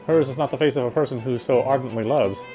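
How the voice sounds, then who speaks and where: A man's voice: medium pitch, fast talker, warm tone. A man reading visual novel narration aloud, expressively, with occasional stumbles over words. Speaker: game streamer. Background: game music.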